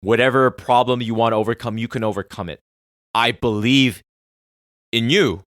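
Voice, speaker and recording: A man's voice: mid-range voice, moderate pace, no echo, studio quality. Earnest man hosting a solo podcast. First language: Korean. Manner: earnest, excitable, swings from soft musing to loud swearing. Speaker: podcast host.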